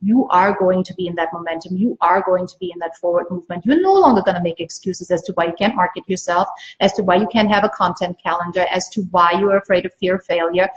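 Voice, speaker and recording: A woman's voice: on the low side, fast talker, hardly any pauses. Emphatic woman coach on lo-fi livestream. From Austria. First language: German. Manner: emphatic, repeats phrases for stress. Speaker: business coach and livestream host. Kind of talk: motivational live sales pitch to viewers. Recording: dull lo-fi livestream audio.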